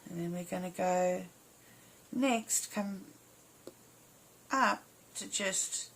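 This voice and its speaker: Slow-paced, croaky woman teaching a craft. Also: mid-range voice, fairly bright tone. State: slightly hoarse, croaky voice. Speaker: embroidery instructor. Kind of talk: casual step-by-step craft tutorial.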